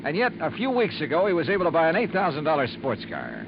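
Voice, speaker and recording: A wry, hard-boiled insurance investigator for a 1950s radio drama, with a lively, rising and falling delivery. The laconic narration comes through a dull, muffled old broadcast under heavy hiss. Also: male voice, a little high, quick pace. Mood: calm. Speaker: lead character and narrator.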